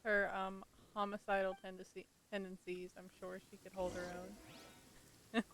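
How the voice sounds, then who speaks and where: A woman's voice: medium pitch, level tone, normal speed, background noise. A woman game streamer giving casual, wry commentary and reading text aloud.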